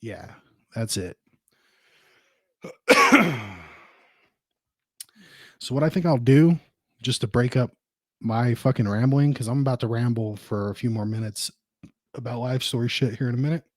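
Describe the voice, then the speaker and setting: A man's voice: medium pitch, measured pace, pauses often, no room sound. A man hosting a podcast solo, rambling casually and informally with plenty of swearing. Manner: profane, informal. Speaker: podcast host.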